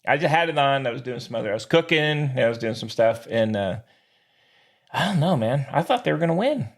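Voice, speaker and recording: A man's voice: medium pitch, animated, fairly quick. An American man co-hosting a sports podcast, chatting casually in a jokey, slangy way. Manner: jokey, slangy, calls people "man", "dude", "baby".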